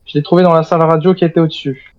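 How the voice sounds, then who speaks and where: A man's voice: a little high, level tone, racing, a little mellow, no room sound, muffled recording. A man talking in character as the last survivor of a military squad, with mock-formal turns, in a game roleplay. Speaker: player in a zombie survival roleplay. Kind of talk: in-character gaming roleplay chat.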